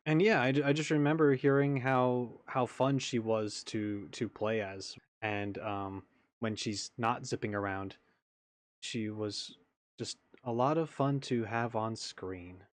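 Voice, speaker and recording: A man's voice: mid-range voice, steady tone, slow pace, dry recording. A man giving casual, off-the-cuff commentary as the host of a reaction video.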